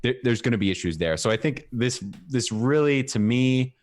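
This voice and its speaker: A man co-hosting a casual crypto podcast, thinking aloud in a measured, hesitant way. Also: medium pitch, expressive, a little mellow, dry recording. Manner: measured, reflective, some hesitation. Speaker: podcast co-host. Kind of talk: casual panel discussion.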